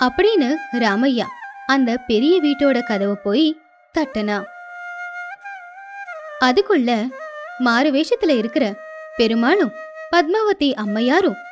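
A woman narrating a children's storybook cartoon with a high, clear voice. She tells the story steadily and simply, with flute music playing behind her. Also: sing-song, takes it slowly, pauses often.

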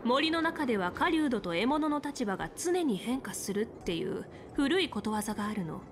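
Video game role: confident female general, higher register, composed strategist, slight noise behind. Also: sing-song, normal speed. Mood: composed, instructive. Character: confident, commanding woman general, calm seasoned strategist. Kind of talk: Japanese video game voice acting.

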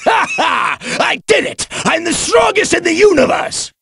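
A man voicing an arrogant, vicious alien warrior villain in an anime fighting game, gravelly and raspy, sneering and taunting as he shouts. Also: high-pitched, huge pitch swings, takes it slowly, no echo, exaggerated voice. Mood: boastful. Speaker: video game character voice actor.

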